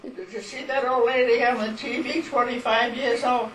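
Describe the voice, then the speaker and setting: A woman's voice: a little high, steady tone, normal speed. An elderly woman, being interviewed by family at home, blunt and folksy. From Rhode Island. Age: older. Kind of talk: casual family chat. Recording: echoey home video, distant mic, hiss.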